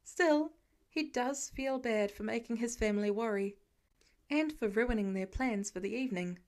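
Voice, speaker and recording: A woman's voice: on the high side, moderate pace. A woman narrating a scripted piece of fiction as an audiobook reader. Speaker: podfic narrator.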